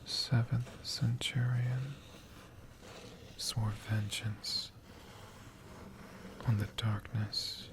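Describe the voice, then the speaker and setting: A storyteller whispering a tale for ASMR in a breathy, hushed voice, with dramatic phrasing and long pauses. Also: mid-range voice, flat delivery, noisy background. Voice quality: breathy, mostly unvoiced whisper.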